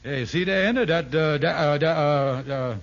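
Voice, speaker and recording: Blustering comic schemer played by a man, high-pitched and stammering, folksy grammar, muffled 1950s radio comedy recording. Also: moderate pace, close to an everyday voice. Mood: chatty. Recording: muffled, hissy old radio broadcast.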